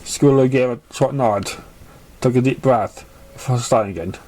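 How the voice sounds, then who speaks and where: A man reads fan fiction aloud as an amateur narrator. His delivery is halting, with stumbles in the reading. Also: medium pitch, a little mellow, poor audio.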